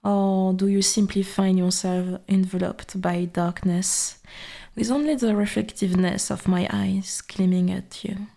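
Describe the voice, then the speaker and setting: Woman as a slow, murmuring ancient forest goddess. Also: mid-range voice, steady tone, dark tone. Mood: curious, looming. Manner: slow, breathy half-whisper, archaic formal wording. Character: ancient female forest god, dominant, possessive, quietly menacing. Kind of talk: ASMR audio roleplay.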